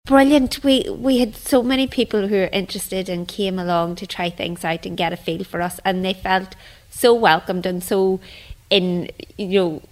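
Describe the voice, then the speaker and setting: A woman's voice: mid-range voice, moderate pace, rough recording. A woman with a Northern Irish accent talking informally as an interview guest about her group. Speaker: drama group member, children's teacher, radio guest. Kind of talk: casual interview chat.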